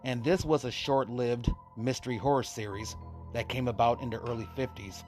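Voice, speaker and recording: A man's voice: mid-range voice, fairly even intonation, normal speed, a little mellow. A man narrating a scripted introduction as host of an old-time radio horror show. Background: music under the voice.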